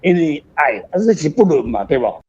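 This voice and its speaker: Older Taiwanese man, husky and mellow. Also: fairly high pitch, very animated, fairly quick. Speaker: author, guest. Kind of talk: casual reflective talk on own writing. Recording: muffled, slightly dull sound.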